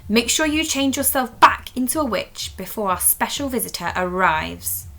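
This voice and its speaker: Woman acting a stern, loud, exasperated witch schoolteacher. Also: on the high side, lively intonation, normal speed, gravelly, exaggerated voice, rough recording. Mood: cross, exasperated. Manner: stern, emphatic, exclaiming.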